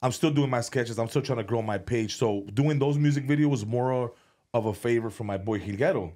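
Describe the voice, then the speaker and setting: A man's voice: medium pitch, fairly quick, mellow. A man talking as a podcast guest in casual chat, rambling with many restarts and repeated words, and swearing freely. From United States. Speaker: comedian and music video director, podcast guest.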